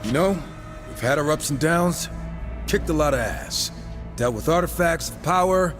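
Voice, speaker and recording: A man's voice: on the high side, animated, normal speed, music in the background, lightly acted. An actor playing a cocky, irreverent horseman in a video game, talking in sarcastic, breezy quips. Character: cocky, wisecracking male horseman, irreverent, impatient gunslinger type. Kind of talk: video game cutscene acting.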